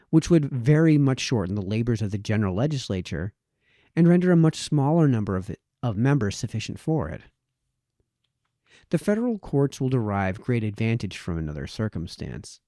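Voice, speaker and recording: A man reading a formal historical essay aloud as narrator, in a deliberate, expository manner with varied emphasis. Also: mid-range voice, mellow, no echo, clean studio sound. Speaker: reader, narrator.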